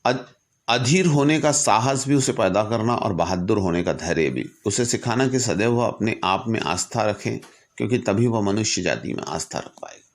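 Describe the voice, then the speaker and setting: A man telling a story reflectively in a didactic way, with measured delivery and deliberate pauses. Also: on the low side. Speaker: storyteller and speaker. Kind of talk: reflective storytelling, reciting a letter from memory with asides.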